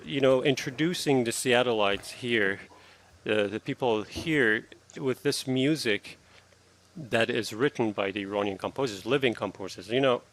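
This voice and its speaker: A man in his thirties from Iran, a classical guitarist answering interview questions earnestly, with halting restarts. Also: mid-range voice.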